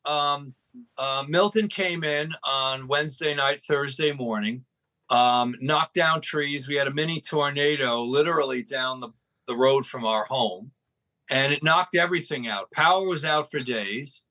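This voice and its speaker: A man's voice: on the high side, sharp tone, low-quality recording. A man speaking as an interview guest, explaining things in an emphatic, folksy storytelling way. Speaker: interview guest, radio station operator. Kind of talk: conversational explaining.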